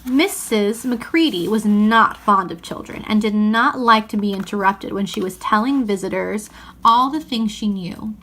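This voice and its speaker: A woman's voice: medium pitch, moderate pace, a bit bright, a little hiss or hum. A woman narrating a children's story as an audiobook reading.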